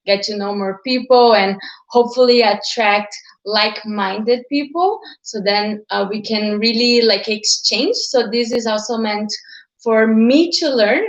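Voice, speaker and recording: A woman's voice: a little high, measured pace, lo-fi sound. Chatty young woman in an echoey room. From Brazil. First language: Portuguese. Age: 20s. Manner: chatty, many fillers like "like" and "uh". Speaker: channel host. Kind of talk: casual livestream talk to viewers. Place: at home.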